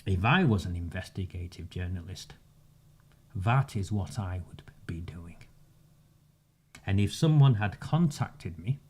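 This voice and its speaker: Man, forties, very slow deliberate delivery, dark mellow tone, sarcastic. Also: low voice, animated, breathy edge. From northern England. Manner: measured, sarcastic, emphatic pauses. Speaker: community campaigner. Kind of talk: direct-to-camera monologue.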